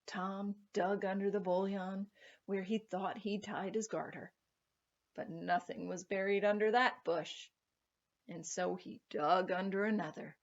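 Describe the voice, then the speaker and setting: Woman reading a storybook, measured pace. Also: medium pitch, fairly even intonation, highs cut off. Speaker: storybook reader. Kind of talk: expressive children's story read-aloud.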